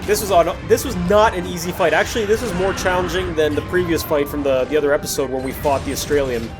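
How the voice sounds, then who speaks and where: A man's voice: on the high side, steady tone, quick pace, music in the background, low-quality recording. A man hosting a gaming video, giving casual, digressive commentary on gameplay in an apologetic manner. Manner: apologetic, digressive, often backtracking to earlier points. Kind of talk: casual gameplay commentary over recorded footage.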